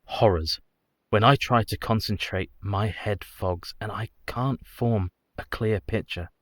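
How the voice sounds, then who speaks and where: An actor playing a haunted, devoted young Victorian husband in a radio play, solemn and formal. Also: male voice, fairly low pitch, a little slow, fairly bright tone, no room sound, clean studio sound. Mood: troubled.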